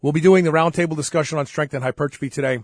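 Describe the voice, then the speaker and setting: A man's voice: fairly high pitch, steady tone, dry recording, clean studio sound. A man hosting a casual talk radio show, congested and nasal from a head cold, chatty and steering the talk. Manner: chatty, brisk, steers the conversation.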